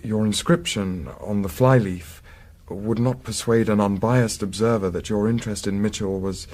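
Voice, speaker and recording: An actor playing a discreet, composed Victorian gentleman investigator in a period radio drama, speaking formally with measured, precise diction. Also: fairly low pitch, fairly even intonation, natural voice. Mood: firm, explanatory.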